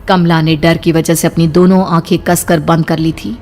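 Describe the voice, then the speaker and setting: A woman's voice: sits low, level tone, moderate pace, a little mellow, soft background music. A woman narrator reading a scripted horror story in a dramatic style.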